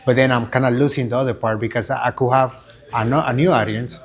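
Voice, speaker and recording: A man's voice: mid-range voice, steady tone, fast. A middle-aged man from Venezuela with a Venezuelan Spanish accent, a fighter and coach giving rambling interview answers in a gym. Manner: rambling, many fillers and restarts.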